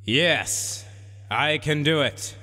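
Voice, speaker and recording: Man playing a pompous supercomputer in booming reverb. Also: pushed and bright, exaggerated voice. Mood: measured, grave. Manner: grandiose, slow declamation with archaic wording. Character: pompous, haughty supercomputer, grandly solemn and weary. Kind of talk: comic science fiction radio drama. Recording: long reverberant hall echo.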